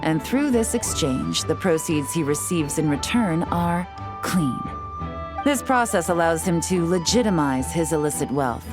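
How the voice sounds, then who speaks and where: Woman investigator, lowish voice, cool, articulate, businesslike, wry, game dialogue, faint music. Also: animated, a little slow. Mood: cool, explanatory. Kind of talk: scripted video game dialogue.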